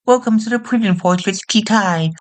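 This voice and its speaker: A man voicing a high-voiced mage of ancient legends in a parody anime dub. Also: moderate pace, natural voice. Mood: welcoming.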